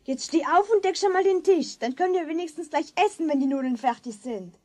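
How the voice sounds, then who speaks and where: A bossy big sister in a children's radio play. Her girlish voice is very high and swings up and down as she scolds in exasperation. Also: expressive, fairly quick, a little mellow. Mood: exasperated.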